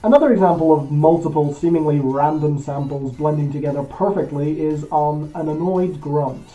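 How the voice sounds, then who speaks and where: A man from Belgium hosting a music show, giving an opinionated scripted album review with animated emphasis. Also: on the high side, normal speed, dull audio.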